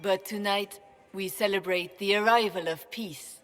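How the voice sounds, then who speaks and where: An actress playing a regal, composed empress in a video game, speaking in a stately, formal manner. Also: female voice, mid-range voice, unhurried, close to an everyday voice. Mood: proclaiming. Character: regal, composed empress addressing her court. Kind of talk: theatrical video game acting. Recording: long hall reverb, music behind.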